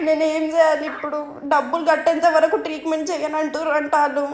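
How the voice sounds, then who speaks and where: A woman's voice: high voice, flat delivery, fast, loud music behind the voice. A young adult woman with a Telangana accent chatting casually on a prank phone call. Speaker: prank caller and vlog host. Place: indoors against a painted wall. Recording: echoey room.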